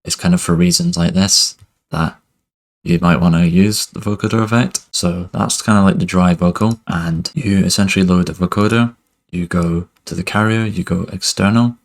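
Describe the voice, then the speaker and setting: A young man teaching music production over a screen recording in a relaxed, loose way, with lots of "kind of" and "basically", speaking into a headset mic in a home studio. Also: sits low. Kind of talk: screen-recorded software tutorial. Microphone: headset with webcam inset.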